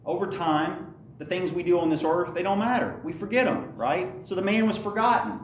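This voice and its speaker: A middle-aged man preaching a sermon, telling stories and climbing higher in pitch to stress his points, with frequent restarts. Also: lively intonation, moderate pace. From Lebanon, Tennessee area. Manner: storytelling, rises in pitch for emphasis, frequent restarts. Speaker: pastor. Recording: echoey room from a distant mic, faint noise.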